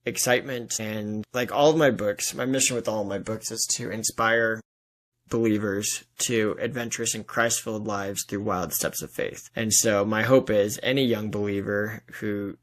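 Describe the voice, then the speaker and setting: A man speaking as a guest author in a casual interview, with an earnest, enthusiastic and informal manner. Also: lowish voice.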